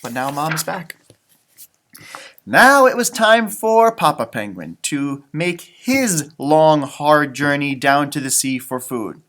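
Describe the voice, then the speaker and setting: A man reading a children's picture book aloud in an expressive, teacherly way, with casual chatty asides. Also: on the high side, slow pace. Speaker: storybook reader, elementary school teacher.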